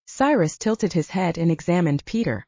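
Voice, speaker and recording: A woman narrates a novel in a mellow, fairly low voice with very animated intonation. The recording is dry and slightly dull, with little room sound. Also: moderate pace, slightly rough, dry recording. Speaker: audiobook narrator. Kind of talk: scripted fiction narration.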